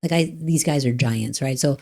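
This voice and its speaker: A woman's voice: extremely low pitch, moderate pace, creaky, a little mellow. A woman hosting a casual podcast conversation as a trial attorney, chatty and rambling, full of fillers and restarts.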